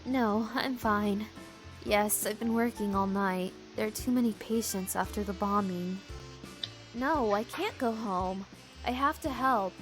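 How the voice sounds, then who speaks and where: A woman's voice: mid-range voice, measured pace, faint music behind, close to an everyday voice. A woman voicing a determined, independent young hospital worker in a visual novel game, earnest and firm. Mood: weary, firm.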